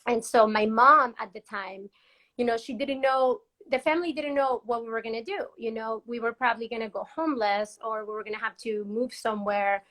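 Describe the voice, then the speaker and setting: A woman's voice: fairly high pitch, brisk, fairly bright tone. A young woman from Peru, a guest in a casual video-call interview, chatty with lots of fillers and laughs. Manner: chatty, laughs often, many fillers and restarts.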